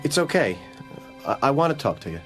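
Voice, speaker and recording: An actor playing a troubled young American patient who is haunted by nightmares, in a horror radio drama. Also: male voice, medium pitch, big pitch swings, brisk, music in the background, natural voice. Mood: soft, subdued.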